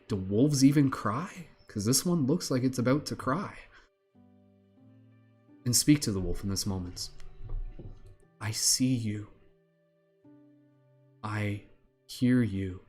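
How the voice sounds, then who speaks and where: A man with a low voice guides a meditation at a very slow, unhurried pace. His phrasing is calm and repetitive, with long pauses between lines, and soft music plays faintly behind him.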